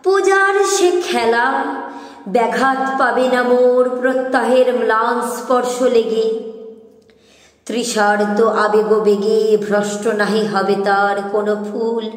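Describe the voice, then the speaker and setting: A woman reciting poetry expressively, in a measured, dramatic, lilting delivery with drawn-out phrases. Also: fairly high pitch. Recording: heavy echo, long hall reverb.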